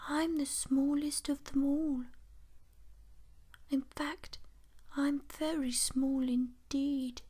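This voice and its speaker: A woman voicing a tiny, shy, sleepy boy baby rabbit in a whispered bedtime story. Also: high voice. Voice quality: soft whisper.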